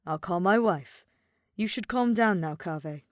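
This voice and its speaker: A woman voicing a calm, tactful male palace general in a fantasy story. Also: medium pitch, unhurried, dry recording, studio quality, close to an everyday voice.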